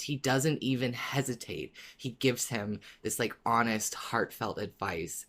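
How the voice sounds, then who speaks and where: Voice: medium pitch, a bit bright. Effusive podcast co-host, heartfelt and casual. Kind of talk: casual fan discussion of a story.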